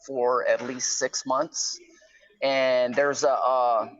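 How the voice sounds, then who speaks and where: Middle-aged male caller, conversational explainer, medium pitch, slightly muffled lo-fi phone sound. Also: level tone, slow, no echo. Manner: conversational, explanatory, peppers talk with "right?" and "you know". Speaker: guest calling from prison. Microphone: phone line. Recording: slightly dull, lo-fi phone call.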